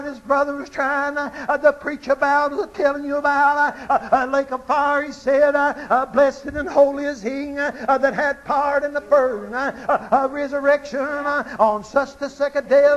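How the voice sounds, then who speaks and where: Preacher guy, really high voice, chanting his sermon with uh uh between every line. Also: fairly bright tone, low-quality recording. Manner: rhythmic preaching, constant "uh" between phrases. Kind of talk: chanted old-time sermon.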